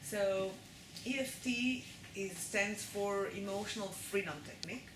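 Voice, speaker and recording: A woman with an Israeli accent lectures to a live audience and keeps checking in with them as she goes. Her voice rings around an echoey room, with steady noise underneath. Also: medium pitch, measured pace.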